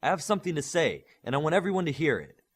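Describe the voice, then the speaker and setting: A proud, fierce superhero warrior played by a male narrator speaks as an impassioned leader. The voice is raised high above an ordinary reading tone and pushes hard with forceful oratory, as in a dramatic audiobook. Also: sing-song, racing, slightly rough. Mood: commanding. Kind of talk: audiobook character acting.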